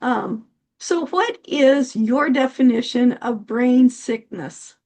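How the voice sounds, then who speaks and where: A woman with a notably high voice hosts a conversational interview show. She talks in a friendly, relaxed way and laughs easily with her guest. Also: takes it slowly. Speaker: podcast host. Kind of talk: casual interview chat.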